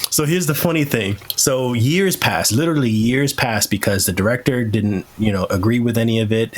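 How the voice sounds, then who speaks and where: A man speaking as a podcast host in casual, opinionated conversation, swearing freely. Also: lowish voice. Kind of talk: casual chat.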